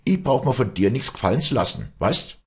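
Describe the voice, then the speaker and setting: A man's voice: fairly low pitch, sing-song, moderate pace, darkish tone, husky, voice pushed up, full character voice. A man voicing a poor, honest young maidservant, agitated and indignant, pleading in Alpine Bavarian dialect in a storybook reading.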